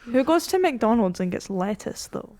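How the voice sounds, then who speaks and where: A young adult woman with a medium-pitched voice takes part in a casual podcast. She speaks informally as a co-host put on the spot in a quiz. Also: very animated, normal speed, dark tone. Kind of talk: casual chat.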